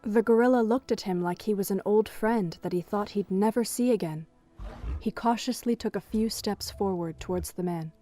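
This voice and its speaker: A woman's voice: mid-range voice, normal speed, warm tone. A woman reading scripted third-person narration for an audio drama as its storyteller. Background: music.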